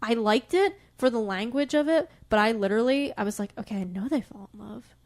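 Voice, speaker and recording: A woman's voice: a little high, a little fast. A young woman co-hosting a podcast in casual, giggly banter, talking in a chatty and emphatic way. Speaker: podcast co-host. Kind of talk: casual book-chat banter.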